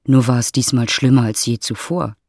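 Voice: mid-range voice, fairly even intonation, moderate pace, a little mellow, no echo, clean studio sound. A narrator reading scripted literary prose for an audio drama. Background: music.

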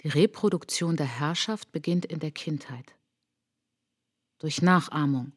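A woman playing an introspective, wounded traveller who recalls an outcast childhood in a measured, poetic radio drama monologue, with a husky voice. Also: low-pitched, no room sound. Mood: bitter, reflective. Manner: measured, deliberate, short phrases. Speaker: narrator and lead of an autobiographical radio play.

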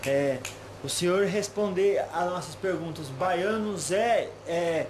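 A Brazilian man with a fairly high voice conducts a casual interview. He is respectful and deferential, often repeating what he is told. The sound is echoey, with clear noise in the recording. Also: slow. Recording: roomy, echoing, clearly noisy amateur recording.

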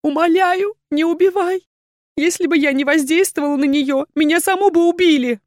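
A desperate wyvern woman from a fantasy audiobook, acted out by a female narrator, pleads in a high, tearful voice. She sobs and exclaims breathlessly, close and dry with no room sound. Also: very animated, brisk, no echo. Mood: tearful pleading.

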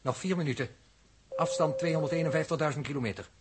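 An actor playing a precise, matter-of-fact spaceship navigator with an urgent edge in a 1960s Dutch radio drama. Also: male voice, a little high, fast talker, close to an everyday voice. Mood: urgent, factual. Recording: noisy old radio drama recording.

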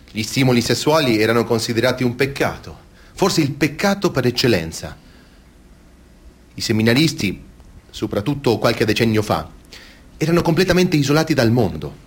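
An actor playing a male expert who explains in a deliberate, didactic and emphatic way, as in a scripted interview. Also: medium pitch, slightly rough. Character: measured male expert explaining clergy abuse. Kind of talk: scripted interview-style stage testimony.

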